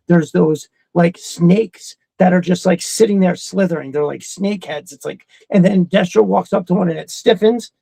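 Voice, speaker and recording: A middle-aged man co-hosting a casual fan chat, talking in an excitable, rambling, profanity-laced way. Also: sits high, normal speed, gravelly, few pauses, slightly rough, no room sound. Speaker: podcast co-host.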